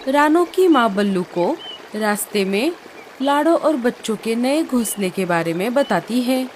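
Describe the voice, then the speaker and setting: A woman's voice: on the high side, lively intonation, measured pace, darkish tone, faint music behind. A woman reading scripted narration for a children's cartoon story.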